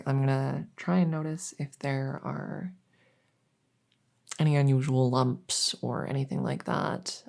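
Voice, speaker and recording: Woman playing a low, slow, soothing vet. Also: vocal fry, dark tone, exaggerated voice. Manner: hesitant, soothing, explanatory. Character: gentle, reassuring female veterinarian examining a werewolf patient. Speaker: audio roleplay performer. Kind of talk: soft-spoken ASMR audio roleplay.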